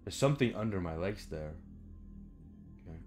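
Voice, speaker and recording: A man's voice: deep voice, expressive, normal speed, a little mellow, soft background music. A young man doing casual horror game commentary, muttering to himself in short phrases. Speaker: gamer, let's play host. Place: small room at home.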